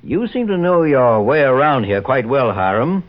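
An actor playing a shrewd, formal American investigator, probing and commanding, in an old-time radio drama. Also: male voice, mid-range voice, big pitch swings, normal speed, close to an everyday voice. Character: shrewd, authoritative male private investigator, calmly probing. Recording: dull 1940s-50s radio recording.

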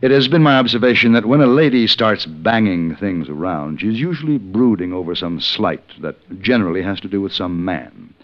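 An actor playing a suave, wry gentleman gunfighter with a measured, articulate delivery in an old radio western. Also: fairly low pitch, lively intonation, natural voice. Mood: dry, amused. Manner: measured, dryly amused, articulate. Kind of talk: 1950s radio western acting with narration. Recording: muffled old radio recording.

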